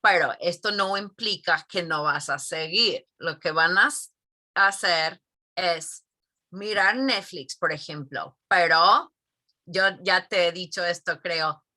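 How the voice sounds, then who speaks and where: A woman's voice: fairly low pitch, slow, pushed and bright. A middle-aged woman, a Spanish teacher, giving thoughtful interview answers with many fillers and tag questions.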